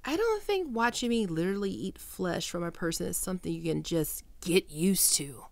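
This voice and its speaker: A woman playing a guilt-ridden, tender young ghoul best friend in an intimate ASMR roleplay, speaking softly and haltingly with emotion. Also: fairly low pitch, animated, no echo. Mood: hesitant, nervous. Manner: soft, hesitant, emotional, halting.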